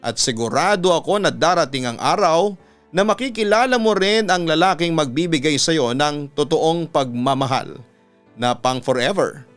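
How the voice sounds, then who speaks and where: A man reads listener letters as a radio storytelling host, measured and earnest, with deliberate dramatic pauses. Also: a little high, expressive, fairly bright tone. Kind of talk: dramatic reading of listener letters, scripted narration. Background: background music.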